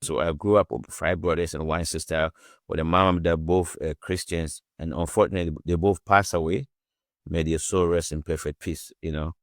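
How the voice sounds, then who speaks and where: A man with a very low, deep voice talks in a loose, rambling way as a guest on a remote call. The sound is dry and close. He is from Ghana. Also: normal speed, dry recording. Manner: rambling, relaxed storytelling with frequent "you know". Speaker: guest author. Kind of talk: casual interview.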